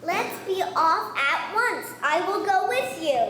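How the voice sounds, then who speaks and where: A child plays a headstrong, gullible puppet boy in a school puppet play. The voice is very high and bright, declaimed eagerly into an echoing hall. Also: expressive, slow, full character voice. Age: child, first grader. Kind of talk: children's puppet show recitation. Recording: echoey hall, faint noise.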